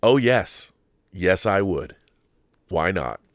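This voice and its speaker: A man's voice: low-pitched, lively intonation, slow pace, dry recording, studio quality. A middle-aged man hosting a pop culture show, talking casually and with opinions, rambling and repeating words for emphasis. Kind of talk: casual opinionated monologue answering viewer questions.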